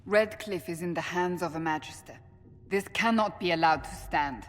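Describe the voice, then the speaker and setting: A woman's voice: lowish voice, normal speed, natural voice. A woman playing a stern, suspicious warrior in a video game, with a severe, formal manner. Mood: disapproving. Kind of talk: video game dialogue acting.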